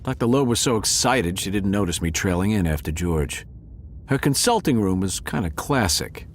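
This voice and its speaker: Audio drama narrator: wry tomcat, lowish voice, lively swings, dramatic noir delivery. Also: male voice, normal speed, a little hiss or hum. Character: male cat narrator, wry, smitten, noir detective-story storyteller.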